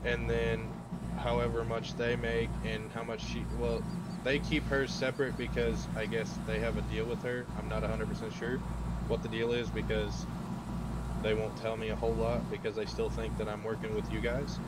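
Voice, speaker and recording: Guy roleplaying an informant, rambling and unsure, pretty monotone, loud rumbly noise behind him. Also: moderate pace. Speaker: player as undercover informant in police roleplay. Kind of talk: improvised roleplay briefing. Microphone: online game voice chat. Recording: very noisy game voice chat, engine-like rumble.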